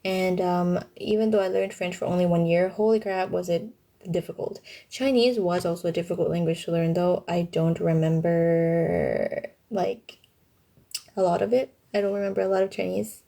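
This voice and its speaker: A woman's voice: on the low side, steady tone, moderate pace, gravelly, mellow. A young woman from Thailand casually chatting on a livestream and answering viewers, with informal, youthful slang.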